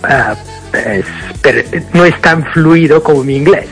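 An Irish man whose first language is English, speaking slowly and hesitantly with many pauses as a radio guest. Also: a little high, fairly bright tone, faint music behind. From Ireland. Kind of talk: radio conversation.

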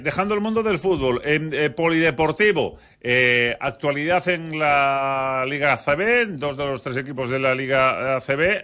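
A man with an accent from Spain presenting a sports news bulletin on the radio, with a halting, hesitant delivery. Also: mid-range voice, pushed and bright. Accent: Spanish, from Spain.

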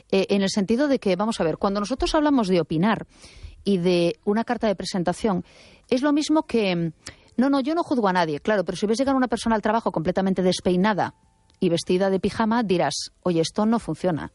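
A woman's voice: medium pitch, a little mellow, no echo. This is a woman who talks in an assertive, opinionated way. She argues her points firmly and corrects the other speaker. Her voice is recorded very dry, with no room sound. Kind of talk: opinionated radio talk.

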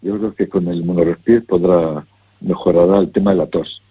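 A man giving health advice, measured and instructive, carefully repeating the names of remedies. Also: deep voice, fairly even intonation, lo-fi sound, a little hiss or hum. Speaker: health advisor. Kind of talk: advisory call-in consultation.

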